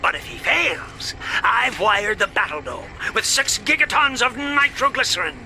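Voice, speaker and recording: A man's voice: high-pitched, sing-song, moderate pace, heavily acted. A man voicing a greedy, scheming alien media mogul villain in a video game, with bombastic, theatrical sales patter. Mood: gloating showman. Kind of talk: video game cutscene acting. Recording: loud music behind the voice.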